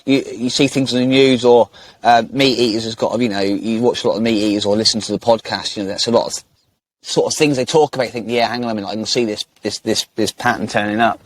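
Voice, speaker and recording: A man's voice: lowish voice, fairly even intonation, fast. A man hosting an interview podcast, chatting casually and rambling with frequent restarts in a friendly way. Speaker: podcast host.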